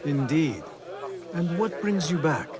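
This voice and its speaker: An actor playing a polite, measured young assassin from medieval Baghdad in a video game, speaking formally. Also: male voice, a little high, lively intonation, a crowd in the background. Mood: polite, curious. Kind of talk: video game cutscene acting.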